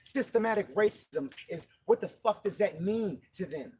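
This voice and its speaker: A man's voice: sits high, expressive, normal speed, darkish tone, slight background noise. A man giving an impassioned, profane speech to fellow marchers outdoors at night, hammering his points with repeated emphasis. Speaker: protest marcher. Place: outdoors at a night street protest.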